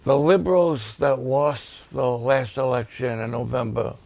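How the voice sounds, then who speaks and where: Male pastor, raspy and gravelly, unhurried, deliberate preaching delivery. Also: medium pitch, darkish tone, dry recording. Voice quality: raspy at times. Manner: slow, deliberate, with emphatic repetitions. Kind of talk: preaching and promoting ministry videos to a livestream audience.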